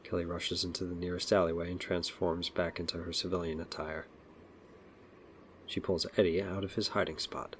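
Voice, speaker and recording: A man's voice: deep voice, level tone, normal speed, slight background noise. A man reading scripted narration for an audio drama.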